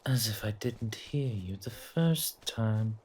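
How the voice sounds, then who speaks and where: A man voicing a playful, possessive forest monster in a horror audio roleplay, teasing with drawn-out menace in a husky, breathy voice. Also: fairly low pitch, expressive, a little mellow, a little hiss or hum. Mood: menacing taunting. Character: taunting male forest monster hunting its prey, possessive, playful.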